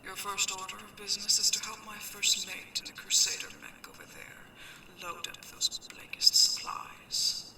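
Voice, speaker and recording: A woman playing a commanding, teasing mech pirate captain in a sci-fi ASMR roleplay, speaking in a hushed, imperious way. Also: mid-range voice, echoey room, far from the mic, heavily acted. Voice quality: hushed, breathy whisper. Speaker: voice actor in an audio roleplay.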